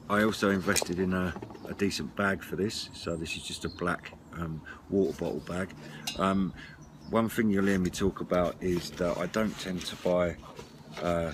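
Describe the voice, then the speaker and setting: Male, middle-aged, deep register, hesitant informal presenter style, noisy outdoor camera recording. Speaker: presenter of a CB radio and camping gear channel. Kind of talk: casual product walk-through to camera. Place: outdoors at a wooden garden table by a house. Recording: clear background noise, outdoor clatter of handled gear.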